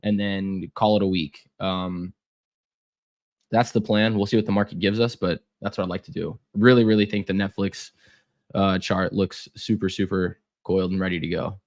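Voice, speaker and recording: A man's voice: sits low, little pitch movement, moderate pace. A young man losing his voice, running a casual live trading stream with lots of fillers and repeated words.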